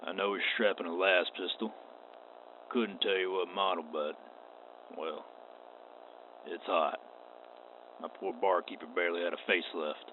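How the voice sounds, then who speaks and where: An actor playing a stern, wary space western marshal in a radio play, with a terse, folksy drawl. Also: male voice, sits low, fairly even intonation, unhurried, long pauses. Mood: dry, skeptical. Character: gruff, wary, stern male frontier marshal. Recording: tinny band-limited audio with clear noise.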